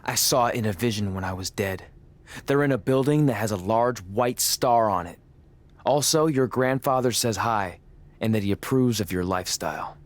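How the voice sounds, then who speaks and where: A man playing a cocky rookie who improvises action-hero lines in a deadpan tough-guy way for a video game. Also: lowish voice, moderate pace, dry recording, close to an everyday voice. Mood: mock-heroic. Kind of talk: video game cutscene acting.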